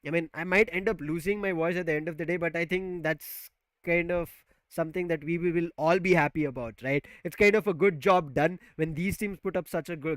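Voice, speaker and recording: A man casting a live esports match remotely over a headset mic, talking loudly and excitably to build hype. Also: fairly high pitch, no room sound. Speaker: esports caster.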